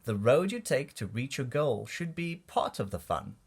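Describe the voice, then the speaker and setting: A man reading a rhyming children's storybook aloud in an expressive, deliberate way for young listeners. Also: medium pitch, slightly rough. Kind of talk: read-aloud storybook narration of rhyming verse.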